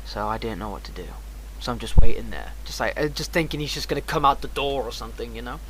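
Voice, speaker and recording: A man's voice: medium pitch, expressive, fast talker, noisy background. A man casually telling a personal story as a streamer, in an emphatic, slangy, heavily profane way.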